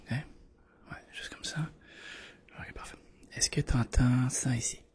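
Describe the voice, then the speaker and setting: A man doing a hushed ASMR doctor roleplay, guiding the listener patiently and reassuringly. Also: mid-range voice, level tone, measured pace. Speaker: ASMR creator playing a doctor. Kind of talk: whispered ASMR medical roleplay.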